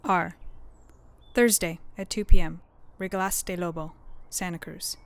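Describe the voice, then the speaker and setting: A woman's voice: lowish voice, fairly even intonation, measured pace. A woman voicing a mid-twenties woman journalist in a screenplay reading, with exasperated muttering. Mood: frustrated.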